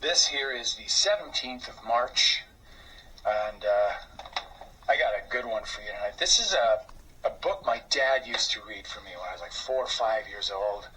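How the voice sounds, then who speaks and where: A man reading a children's story aloud as host, with an expressive, theatrical, drawn-out delivery. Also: on the low side. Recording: slightly distant mic, faint noise.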